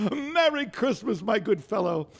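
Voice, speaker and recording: Reformed old miser, high creaky voice, theatrical radio-play glee and bluster. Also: male voice, big pitch swings, slow pace, a bit bright, heavily acted. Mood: giddy joy. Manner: theatrical, exclamatory, chuckling. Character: reformed old miser employer, gleeful and mock-stern. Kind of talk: old-time radio drama acting.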